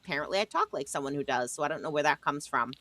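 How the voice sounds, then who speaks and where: Talkative woman w/ new york-ish accent rambling on a livestream, bright voice. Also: sits low, lively intonation, rapid-fire, dry recording. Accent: New York-sounding. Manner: chatty, talkative rambling storyteller. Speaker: livestream co-host. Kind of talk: casual livestream chat.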